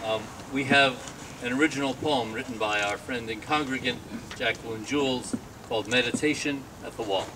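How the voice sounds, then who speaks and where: A man's voice: mid-range voice, normal speed. A man acting as emcee, introducing speakers at an outdoor ceremony. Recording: distant mic, loud outdoor vehicle noise.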